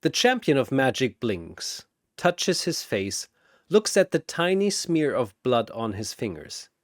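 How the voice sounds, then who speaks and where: A man's voice: mid-range voice, sing-song, measured pace, no echo, studio quality. A man reading scripted fantasy battle narration in a dramatic announcer style.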